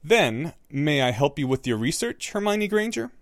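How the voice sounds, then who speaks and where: A man's voice: fairly high pitch, big pitch swings, normal speed, dry recording, studio quality, voice pushed up. A man voicing a clever, theatrical young boy wizard who talks with confident, reasoning flair. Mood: composed.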